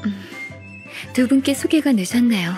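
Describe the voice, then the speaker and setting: A woman voicing a gentle, modest, dutiful secretary in a video game, speaking softly and formally. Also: a little high, lively intonation, moderate pace, faint music behind, natural voice. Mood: polite, earnest. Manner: polite, soft-spoken, formal. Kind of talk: Korean video game voice acting.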